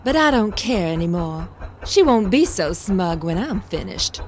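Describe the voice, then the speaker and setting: An actress playing a scheming, spiteful younger sister in an audio drama, sly, flirtatious and taunting. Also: female voice, on the low side, big pitch swings, unhurried, darkish tone, slight background noise.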